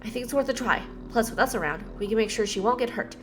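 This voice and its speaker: A woman's voice: fairly high pitch, fast talker, over music. A woman streaming a game, giving blunt casual reactions and reading dialogue aloud in an informal way.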